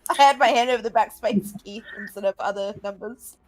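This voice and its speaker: A woman playing a tabletop role-playing game, chatty and quick to laugh. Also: medium pitch, faint music behind, rough recording. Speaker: player. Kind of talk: casual play over voice chat.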